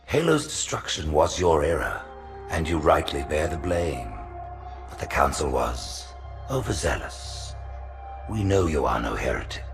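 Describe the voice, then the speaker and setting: Imperious old alien prophet for a game cutscene: extremely low, creaky, solemn, faint music underneath. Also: male voice, very animated, exaggerated voice. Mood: solemn, grave. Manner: slow, solemn, pompous. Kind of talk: cinematic science fiction game acting.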